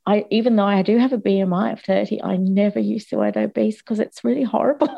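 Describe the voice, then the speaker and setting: A woman speaking as a guest on a discussion panel, candid and chatty, with a voice still slightly croaky from a cold. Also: medium pitch, brisk, lo-fi sound. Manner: candid and chatty, with frequent restarts and laughs.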